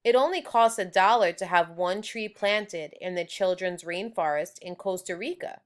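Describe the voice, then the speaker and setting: A woman's voice: on the low side, normal speed, lightly acted. Know-it-all schoolgirl, read by a woman. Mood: informative. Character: know-it-all third-grade girl.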